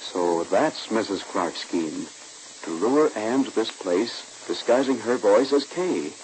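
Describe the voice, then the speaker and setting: A man's voice: lowish voice, expressive, slow, a little mellow, rough recording. A man with an American accent announcing in polished, emphatic 1940s radio style, like a scripted salesman. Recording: hissy old radio recording.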